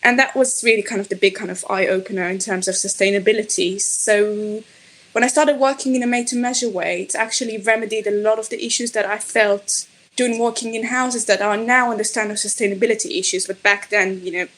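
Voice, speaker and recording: A middle-aged woman from Israel whose first language is Hebrew, her voice sitting in an ordinary middle pitch. She answers interview questions thoughtfully, pausing with fillers and restarting her sentences as she works out what she wants to say. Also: steady tone, a bit bright. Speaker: podcast guest, fashion designer. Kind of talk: conversational interview.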